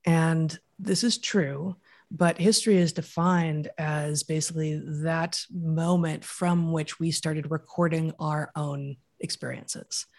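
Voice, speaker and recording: A podcast guest with a mid-range voice that has a gravelly, creaky edge. The guest explains ideas at length in a thoughtful, lecturing way. Each idea is built up step by step. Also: medium pitch, steady tone, slow. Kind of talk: explanatory interview answers.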